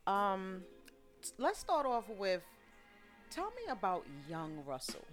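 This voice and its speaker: A woman's voice: mid-range voice, wildly swooping pitch, slow pace, soft background music. A woman hosting a casual interview, asking blunt, playful questions and teasing her guest. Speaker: interview host.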